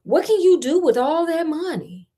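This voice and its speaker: A woman's voice: very high voice, very animated, normal speed, dark tone, pitched above the performer's own voice. A woman with an American accent voicing a nosy, grasping male palace sentry in a storybook, in a wheedling manner.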